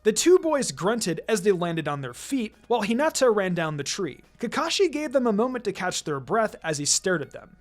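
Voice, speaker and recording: A narrator reading fan fiction aloud in an expressive, scripted storytelling style. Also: medium pitch, normal speed, hardly any pauses. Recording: background music under the voice. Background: music.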